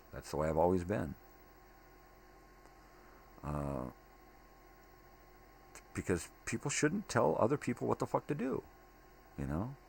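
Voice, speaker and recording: An older man rambling casually in a monologue, blunt, opinionated and given to swearing. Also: deep voice. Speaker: daily vlog host. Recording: clearly noisy home recording.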